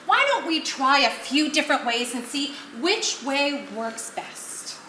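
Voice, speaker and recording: A woman's voice: high voice, lively intonation, unhurried, bright, forceful tone, exaggerated voice. A woman on stage playing a keen, placating play director who steers a rehearsal in a comedy. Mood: instructing. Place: live stage at a one-act play festival. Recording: roomy stage sound with noise.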